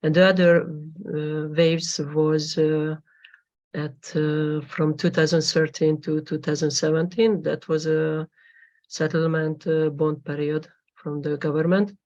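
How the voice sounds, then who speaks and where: Halting woman presenting research. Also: low-pitched, little pitch movement, a little mellow. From Hungary. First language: Hungarian. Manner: halting, formal, with frequent pauses. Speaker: conference presenter, PhD student. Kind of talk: academic presentation. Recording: dull lo-fi recording.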